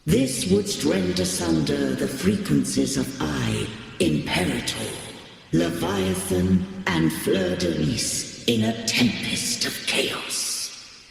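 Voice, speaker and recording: Gravelly, echoing ancient godlike being. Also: male voice, medium pitch, huge pitch swings, heavily acted. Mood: solemn, grave. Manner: archaic, grandiose, measured speech. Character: ancient solemn godlike sentinel being, Imperator. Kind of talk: video game voice acting. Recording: cavernous reverb, music underneath.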